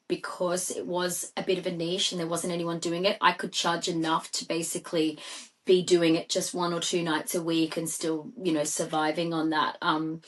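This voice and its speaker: A middle-aged Australian woman, a singer and DJ, chatting as a podcast guest in a rambling way with many fillers. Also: on the low side. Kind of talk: casual podcast conversation.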